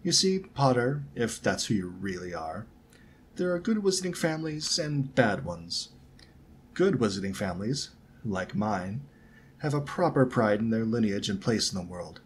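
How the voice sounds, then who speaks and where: A man's voice: lowish voice, very animated, normal speed, a little hiss or hum. A man voicing a haughty, condescending rich schoolboy bully with a lazy drawl, in a storybook reading. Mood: smug contempt.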